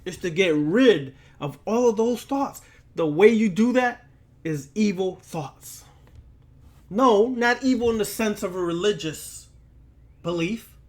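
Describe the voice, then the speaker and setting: Man, somewhat high pitch, occult lecturer, preaching style, deliberate and emphatic, repetitive rhetorical phrasing. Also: a little mellow.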